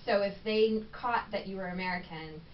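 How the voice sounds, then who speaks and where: A woman's voice: medium pitch, normal speed. A woman talking casually as a podcast guest, chatty and candid with plenty of ums. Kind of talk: casual conversational interview. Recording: echoey room, distant mic, dull sound, faint noise.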